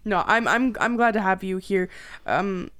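Young canadian woman podcast host, chatty n informal, mid pitched voice. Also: measured pace, fairly bright tone. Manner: colloquial, informal. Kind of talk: casual chat.

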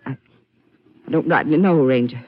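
An actress playing a timid, evasive country farm wife in a 1950s radio western, hesitant and flustered. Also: female voice, a bass voice, moderate pace. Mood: nervous, upset. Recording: muffled, noisy 1950s radio recording.

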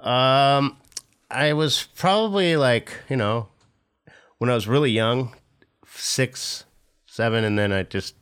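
A man's voice: mid-range voice, takes it slowly, long pauses, fairly bright tone, clear, resonant tone. A middle-aged man from Southern California, a former pro skater as podcast guest, telling stories in a rambling, laid-back way with lots of fillers and swearing. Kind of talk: casual interview chat.

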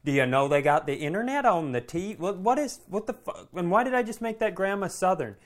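A man's voice: high-pitched, lively intonation, brisk. A man co-hosting a podcast, telling stories in a casual comedic way, rambling and interrupting himself with profane asides. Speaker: podcast co-host.

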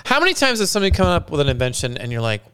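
A man's voice: a little high, wildly swooping pitch, rapid-fire, fairly bright tone, smooth. A man co-hosting a casual podcast, bantering playfully with jokes. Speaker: podcast co-host.

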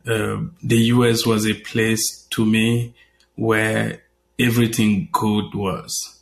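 A man's voice: on the low side, level tone, slow pace, gravelly, slight background noise. A man from Cameroon, a guest journalist, talking in an interview with many fillers and restarts. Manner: hesitant, many fillers and repeated words. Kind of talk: conversational interview.

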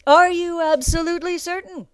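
A woman's voice: on the high side, takes it slowly, a little mellow, clean tone, no room sound, clean studio sound, pitched above the performer's own voice. A woman voicing a scornful, commanding male drill instructor in an audiobook, barking and taunting. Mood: quiet, taunting menace. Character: bellowing small thirty-year-old male drill instructor, scornful and commanding. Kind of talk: audiobook character acting.

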